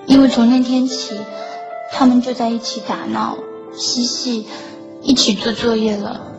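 A woman playing a teenage schoolgirl in an audio drama, narrating softly and wistfully. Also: a little high, fairly even intonation, measured pace. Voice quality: soft, wistful. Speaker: lead and narrator. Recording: dull lo-fi sound with loud music.

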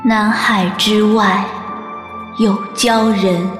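A woman's voice: mid-range voice, steady tone, very slow. A woman playing a solemn narrator of an ancient legend in an audio drama, in long reverb. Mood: solemn, mournful. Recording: long reverb under music.

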